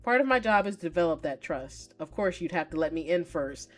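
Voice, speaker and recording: A woman's voice: lowish voice, brisk, soft background music. Woman reading as composed, formal Doctor Love. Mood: patient, firm. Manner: calm, formal, polite. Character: Doctor Love, composed, patient, non-human male love consultant. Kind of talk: reading visual novel dialogue aloud.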